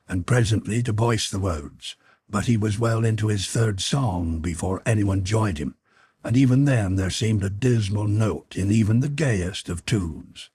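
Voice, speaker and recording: Very husky male audiobook narrator. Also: on the low side, darkish tone, dry recording. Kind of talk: scripted literary narration.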